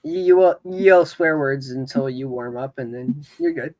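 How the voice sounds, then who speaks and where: A man's voice: medium pitch, normal speed, a little mellow, no echo, muffled recording. A man from Canada chatting casually and teasing the others. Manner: laughing, teasing. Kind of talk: casual chat.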